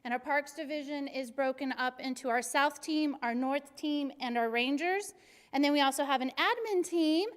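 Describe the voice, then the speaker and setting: A middle-aged woman from Arizona, a city recreation superintendent with a very high-pitched voice, giving an energetic, joking presentation to a class. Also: moderate pace. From Arizona, USA. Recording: long hall reverb.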